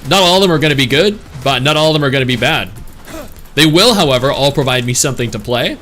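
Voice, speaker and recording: A laid-back man talking over a live stream, answering questions from his chat in a loose, opinionated way. His voice sits at an ordinary middle pitch, and faint music plays under him. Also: lively intonation, fast talker, bright, forceful tone. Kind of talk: casual chat reading live chat.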